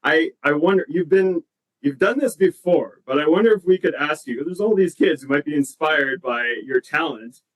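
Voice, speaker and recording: Distant, higher-pitched male interviewer. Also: normal speed. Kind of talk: casual, conversational questioning. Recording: distant, off-mic voice.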